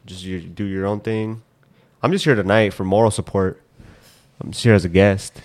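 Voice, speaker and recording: A man's voice: on the low side, steady tone, moderate pace, a little mellow. A young man co-hosting a casual podcast chat, sounding stuffed up after nose surgery, laid-back and swearing freely. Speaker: podcast co-host.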